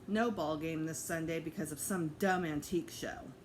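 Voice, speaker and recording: A woman's voice: sits low, steady tone, normal speed, a little hiss or hum, lightly acted. A woman voicing a grumpy, easily disappointed preteen boy in a children's book read-aloud. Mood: sulky.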